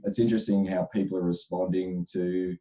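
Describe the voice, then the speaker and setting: A man's voice: deep voice, flat delivery, brisk, warm tone, lo-fi sound. A man speaking as an HR expert on a panel. His voice is slightly crackly, and he answers thoughtfully with frequent restarts. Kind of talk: casual panel discussion.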